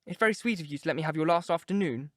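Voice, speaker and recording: A man's voice: a little high, animated, rapid-fire, no room sound, studio quality, close to an everyday voice. A man voicing an eager, lovestruck young woman in a read-aloud story. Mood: playful.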